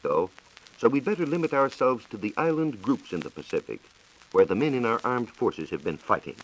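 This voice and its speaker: American man, low voice, animated intonation, formal, explanatory, 1940s radio science talk. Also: low-quality recording. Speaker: science reporter.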